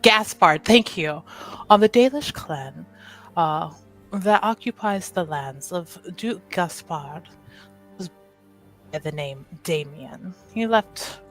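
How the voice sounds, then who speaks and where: Slow-talking woman roleplayer, slightly low voice. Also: animated, soft background music, low-quality recording. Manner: halting, apologetic, thinking aloud. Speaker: tabletop roleplaying player. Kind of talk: improvised actual-play roleplay, casual narration.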